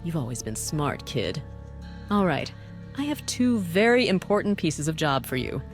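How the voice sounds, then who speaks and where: Bossy woman operative voiced by a woman for a game, low register, brisk and dryly condescending, faint music underneath. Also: sing-song. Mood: businesslike. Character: bossy, cynical woman running a secret task force. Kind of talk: visual novel voice acting.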